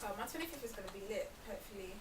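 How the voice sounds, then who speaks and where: Woman, twenties, teasing, rapid talk, distant mic, roomy hiss. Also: medium pitch, lively intonation. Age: 20s. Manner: teasing, quick-witted. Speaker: podcast co-host. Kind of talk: casual chat among friends.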